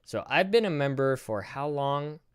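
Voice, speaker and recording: A man in his thirties hosting a casual live stream, reacting in a rambling, profane, animated way. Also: medium pitch, moderate pace, clean tone. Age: 30s.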